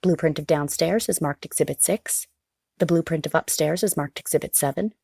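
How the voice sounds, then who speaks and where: Very low-pitched female narrator. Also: fairly quick, vocal fry, a little mellow, husky. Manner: formal, factual. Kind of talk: scripted documentary-style narration.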